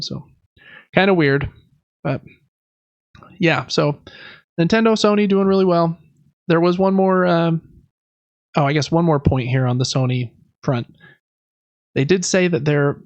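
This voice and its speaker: A man with a slightly high voice and a noticeable fry hosts a casual podcast. He talks informally and conversationally, with slangy asides. The recording is dry and close, if a little dull. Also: darkish tone, no room sound. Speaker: podcast host. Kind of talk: casual podcast commentary on industry news.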